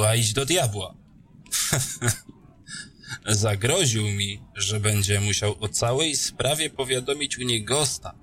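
A man's voice: lowish voice, a bit bright. A man playing a blunt, irreverent soldier in a fantasy audio drama, talking in a sardonic, colloquial way. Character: gruff, irreverent soldier comrade, sardonic and proud.

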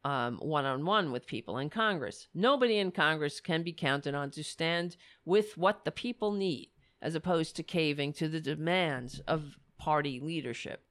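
A woman hosting a political talk show, speaking with fiery, rallying conviction and frequent restarts, her voice going hoarse. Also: low voice, moderate pace, hardly any pauses, a bit bright. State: losing her voice. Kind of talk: impassioned political commentary.

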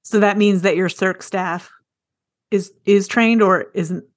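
A woman's voice: mid-range voice, fairly even intonation, slow, no echo, clean studio sound. Woman, podcast host, mid-range voice, conversational interview style, asking questions.

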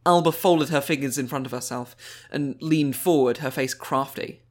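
A man's voice: mid-range voice, normal speed. A man reading scripted fiction aloud as an audiobook narrator.

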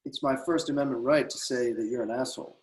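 A man acting a defiant, taunting loudmouth. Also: mid-range voice, fairly even intonation, fast talker, close to an everyday voice, rough recording. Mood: defiant, angry. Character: defiant rowdy large man with a radio, taunting police. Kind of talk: screenplay table read.

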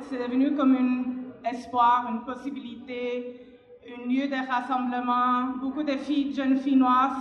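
A woman speaking as a panelist, giving reflective, spontaneous answers and often searching for words. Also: high voice. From Montreal resident of Afro-Cuban origin. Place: public panel in a hall, through a passed microphone. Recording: echoey reverberant hall, dull sound.

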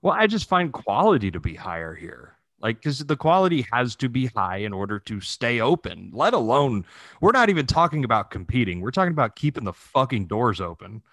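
A man's voice: mid-range voice, sing-song, a little fast, fairly bright tone, dry recording. A man from Texas chatting casually on a podcast, slangy and freely swearing. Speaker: podcast host. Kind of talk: casual conversational podcast chat.

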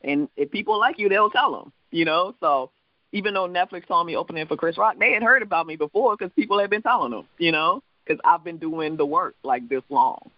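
A man's voice: fairly high pitch, brisk, no room sound, lo-fi sound. Man with a mixed island and Midwestern accent. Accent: mixed island, Midwestern and New York. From US Virgin Islands. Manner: loose, rambling, laughs often, swears casually. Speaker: comedian and show producer. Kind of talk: casual interview chat.

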